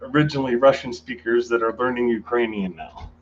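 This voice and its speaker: A man's voice: medium pitch, brisk. A male interview guest talking casually and hesitantly, often stopping to restart his sentences. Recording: dull lo-fi audio with faint noise.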